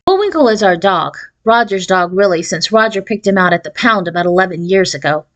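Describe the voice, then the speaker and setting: Female reader for a children's chapter book, mid-range voice, expressive narration. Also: mid-range voice, fairly quick. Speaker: audiobook reader. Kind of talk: expressive read-aloud of a children's novel.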